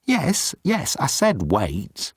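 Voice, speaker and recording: Squeaky, anxious young male alien. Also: huge pitch swings, very slow, husky, no echo, studio quality, heavily acted. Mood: anxious, flustered. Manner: pleading, flustered. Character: timid young male alien officer, anxious, pleading son. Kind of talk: audiobook character acting.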